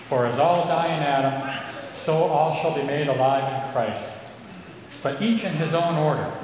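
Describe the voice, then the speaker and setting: A middle-aged man reading scripture at a lectern in a large church, measured and deliberate. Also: a little high. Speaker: scripture reader. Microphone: lectern mic. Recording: echoey church hall with noise.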